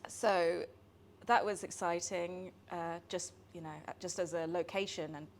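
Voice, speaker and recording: A woman's voice: fairly low pitch, steady tone, unhurried, a little hiss or hum. A woman who grew up around the UK, answering interview questions in a thoughtful, hesitant way with many fillers. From United Kingdom. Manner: thoughtful, hesitant with ums and sort ofs. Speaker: guest. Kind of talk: conversational interview.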